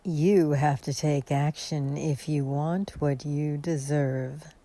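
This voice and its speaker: A woman's voice: sits low, fairly even intonation, warm tone, dry recording. A woman playing a cold veiled woman. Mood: stern. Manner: cold, measured and admonishing. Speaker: actor. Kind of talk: radio drama acting.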